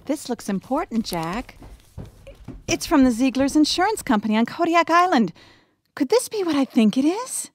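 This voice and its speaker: High-voiced, animated American mom. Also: female voice, normal speed. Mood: excited. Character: warm, delighted mother of a big family. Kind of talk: family radio drama acting.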